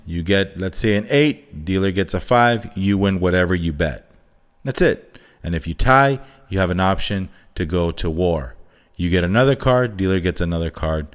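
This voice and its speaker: A middle-aged man telling a casual storytime monologue, chatty, often checking in with "okay?" and "you know". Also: deep voice. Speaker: storyteller, channel host.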